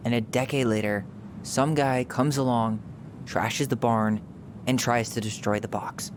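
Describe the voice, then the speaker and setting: An actor playing a guarded young American man in a video game drama, speaking casually and haltingly with some swearing. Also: medium pitch, steady tone, noisy background, close to an everyday voice. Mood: suspicious. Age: young adult. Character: young man, guarded, searching his troubled childhood memories.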